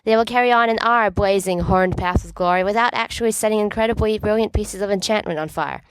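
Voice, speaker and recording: Woman as mischievous teenage boy, excitable, playful, dramatic, storybook dialogue, dry recording. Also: mid-range voice, fast, clear, resonant tone, dry recording, lightly acted, rough recording. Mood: eager, playful.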